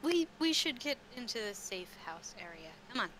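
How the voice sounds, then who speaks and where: Noisy recording, woman game master, bright voice, kinda slow and halting. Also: a little high, sing-song. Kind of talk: casual tabletop roleplay narration. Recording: clearly noisy background.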